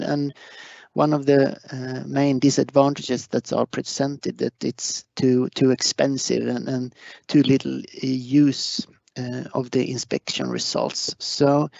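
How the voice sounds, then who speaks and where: A man with a Swedish accent giving a technical online presentation, halting and hesitant, often repeating words as he goes. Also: mid-range voice, creaky, darkish tone, no room sound. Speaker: conference presenter. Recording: muffled online webinar audio.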